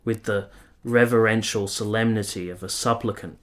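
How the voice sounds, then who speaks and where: An actor playing a lovestruck, conflicted nineteenth-century man in a radio drama, speaking in earnest, measured, literary phrases. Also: fairly low pitch, steady tone, fairly bright tone, natural voice. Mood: solemn, confessional. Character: Giorgio, lovestruck, conflicted 19th-century Italian man, confessional narrator. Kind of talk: radio drama narration and intimate dialogue.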